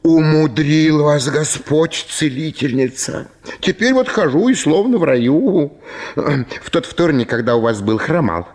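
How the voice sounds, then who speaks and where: Casting: obsequious, hard-up country squire in a radio play, plaintive and gushing, highish voice with big swoops. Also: male voice, sing-song, a little slow, darkish tone. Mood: gushing gratitude. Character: fawning, impoverished small landowner, tearfully grateful, wheedling. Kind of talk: theatrical Russian radio drama.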